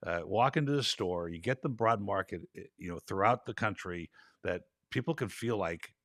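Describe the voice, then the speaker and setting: A male host in a casual interview chat, a little raspy from travel, chatty and rambling. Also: fairly low pitch, moderate pace, fairly bright tone, dry recording, studio quality. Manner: chatty, rambling, laughs easily.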